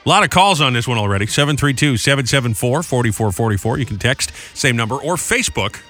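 Bright, lively male radio host. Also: medium pitch, fast talker, faint music behind. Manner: jokey, chatty, sings snippets of songs. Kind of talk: morning radio banter and phone-in.

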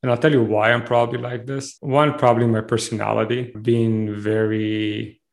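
A man's voice: medium pitch, little pitch movement, normal speed, vocal fry. A man in his thirties from Israel with an Israeli accent, a startup CEO telling his story candidly in an interview. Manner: rambling, candid, self-aware storytelling.

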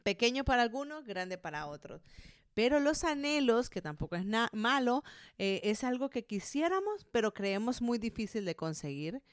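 A woman's voice: fairly high pitch, very animated, moderate pace, clear, resonant tone, no room sound, clean studio sound. A woman in her thirties with a Colombian accent, giving a conversational motivational monologue in an encouraging way, speaking straight to the listener. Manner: encouraging, addresses the listener directly. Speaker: podcast host and motivational speaker.

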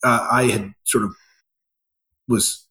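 A middle-aged man from Ecuador, a business founder answering interview questions in a halting way, with many fillers and restarts. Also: mid-range voice, steady tone, bright, forceful tone.

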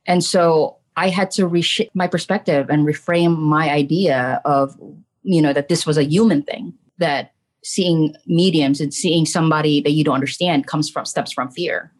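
Low-pitched middle-aged woman, candid storyteller. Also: steady tone, moderate pace. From Philippines. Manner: candid, emphatic, often checks in with "right" and "you know". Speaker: podcast guest, trauma coach and spiritual medium. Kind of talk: casual personal storytelling in an interview.

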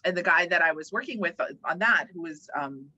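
A woman from Hawaii speaking casually and emphatically as an interview guest, chatty and animated. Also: fairly low pitch, brisk, breathy edge, no room sound, muffled recording. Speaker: interview guest, organizational development worker and voiceover artist.

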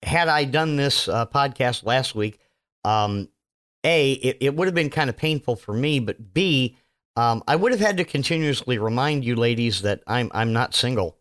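A man hosting his own podcast, his voice still hoarse from illness, rambling casually with lots of fillers and self-mocking asides. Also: medium pitch, expressive, moderate pace, fairly bright tone. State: hoarse, recovering voice. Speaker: podcast host. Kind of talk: casual solo podcast monologue.

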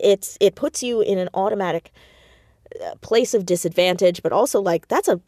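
Casting: American woman, lowish voice, earnest interview guest, emphatic and thoughtful, dry close sound. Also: a little mellow, dry recording. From United States, New Jersey suburb. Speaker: interview guest, audio drama creator. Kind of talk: reflective conversational interview.

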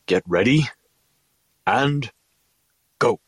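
An actor playing a stately, encouraging alien trainer with a formal, measured manner in an audio drama. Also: male voice, mid-range voice, expressive, breathy edge, full character voice. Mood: instructive.

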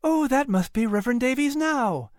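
Man putting on a high, spirited colonial wife, animated. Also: moderate pace, no echo, clean studio sound. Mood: cheerful, welcoming. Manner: emphatic, exaggerated enunciation. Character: cheerful, spirited, teasing colonial wife and hostess.

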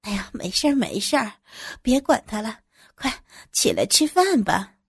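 一个女人在有声故事里演绎一位中年女老板，说话拖着腔，带着居高临下的纵容和叹词。. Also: female voice, on the high side, big pitch swings, moderate pace, creaky, slightly rough, no echo, studio quality, heavily acted. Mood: casual, nagging. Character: middle-aged female company boss, indulgent and self-satisfied. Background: music.

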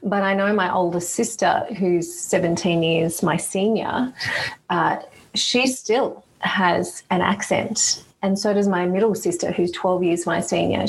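A woman's voice: lowish voice, creaky, a little hiss or hum, rough recording. A woman guest in a podcast interview, answering thoughtfully with frequent ums and restarts. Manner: thoughtful, hesitant with many fillers and restarts. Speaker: guest, executive general counsel.

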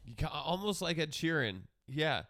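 A man's voice: fairly high pitch, big pitch swings, normal speed, pushed and bright, dry recording, studio quality. Congested man chatting on a podcast. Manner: rambling, self-interrupting, laughs often. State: congested, nasal. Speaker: podcast co-host, comedian. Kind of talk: casual chat.

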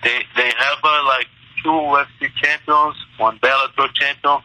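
A young Brazilian man, a native Portuguese speaker, answers interview questions in accented English, halting and restarting as he goes. His voice comes through very bright and sharp over a phone-like line, with a completely dry sound and no room around it. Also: mid-range voice, flat delivery, dry recording. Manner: halting, restarts in second language. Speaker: interview guest, MMA fighter.

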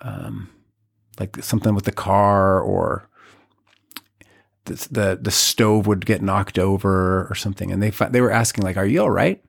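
A man in his forties, a guest on a podcast, talking in a reflective, halting way with many restarts. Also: deep voice, vocal fry. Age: 40s. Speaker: podcast guest, community leader. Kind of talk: casual podcast conversation.

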